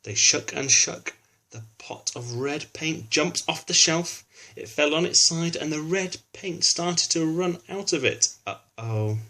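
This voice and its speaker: A man's voice: fairly high pitch, expressive, measured pace, sharp tone. A man reads a children's storybook aloud expressively, adding informal explanatory asides for a young listener. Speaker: children's book reader.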